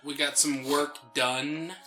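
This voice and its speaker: Very bright, sharp-voiced man chatting casually. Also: fairly high pitch, level tone, slow. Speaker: co-host of a gaming let's play show. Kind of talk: casual chat, game commentary. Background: faint game music.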